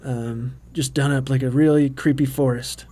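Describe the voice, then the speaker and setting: A man in his thirties giving a rambling, relaxed behind-the-scenes commentary with quaintly formal turns of phrase, full of ums and uhs. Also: mid-range voice, moderate pace. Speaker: puppeteer and co-host of a commentary track. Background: faint background music.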